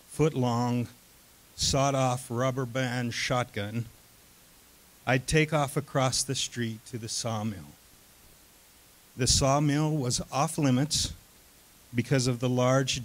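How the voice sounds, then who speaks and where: A man reading his own first-person story aloud on stage, deliberate, pausing between short phrases. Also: medium pitch, steady tone, rough recording. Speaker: reader of his own written piece.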